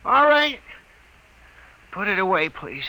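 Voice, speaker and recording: An actor playing an eccentric, aggrieved genius inventor, speaking in formal, precise phrases in an old radio drama style. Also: male voice, high voice, very animated, takes it slowly, sharp tone, heavily acted, rough recording. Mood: agitated, defiant. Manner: formal, precise, prim diction. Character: eccentric, aggrieved little genius inventor, polite but megalomaniac. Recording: old radio broadcast recording.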